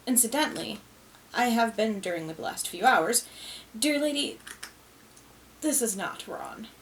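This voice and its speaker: A woman voicing a haughty male spirit speaking through a medium in a storybook. He drawls slowly and precisely, lofty and testy, with a low, unhurried delivery. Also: a little hiss or hum, poor audio.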